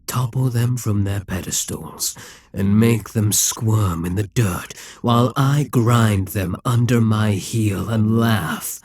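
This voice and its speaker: Demon King for audio roleplay, male actor: calculating, domineering immortal ruler, husky voice, close dry sound, condescending and deliberate. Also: on the low side, darkish tone, dry recording, exaggerated voice. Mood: cold, domineering. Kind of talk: ASMR audio roleplay, dramatic monologue.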